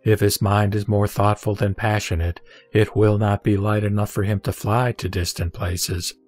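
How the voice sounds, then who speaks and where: Man voicing solemn Buddha, measured teacher. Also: on the low side, flat delivery, darkish tone, no room sound, faint music behind, natural voice. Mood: solemn, instructive. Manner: measured, formal, solemn reciting. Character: the Buddha, serene male teacher instructing a disciple. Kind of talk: scripted scripture audio drama, recited teaching.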